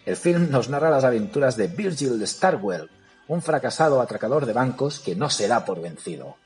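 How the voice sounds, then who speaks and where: A man, hosting a podcast and recommending a film in a lively, ironic way. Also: medium pitch, moderate pace, soft background music. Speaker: podcast host.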